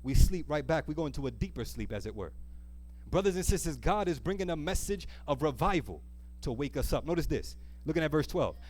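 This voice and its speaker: A man delivers a sermon in a fairly high voice. He is emphatic and exhorting as he reads scripture. He often pauses on a question to draw his listeners in before pressing on. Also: expressive, a little mellow. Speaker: preacher. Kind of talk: sermon with scripture reading and call-and-response questions. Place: church service, live.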